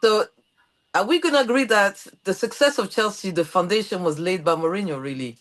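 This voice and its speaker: A woman from Portugal with a Portuguese accent, hosting a podcast interview and telling stories in a chatty, animated way. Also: medium pitch, brisk. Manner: animated, storytelling with quoted voices. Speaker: podcast host. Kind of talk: casual interview chat.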